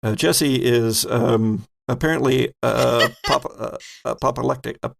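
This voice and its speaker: A man narrating a tabletop horror game as game master, with deliberate, dramatic storytelling. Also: mid-range voice, vocal fry, slight background noise. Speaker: game master, podcast host.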